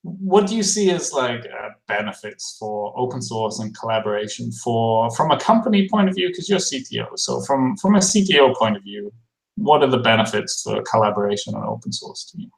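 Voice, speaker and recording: A man's voice: medium pitch, sing-song, brisk, creaky, reverberant, poor audio. A man hosting a livestream over a video call, asking guests questions in a casual, self-deprecating way with many fillers. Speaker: livestream host.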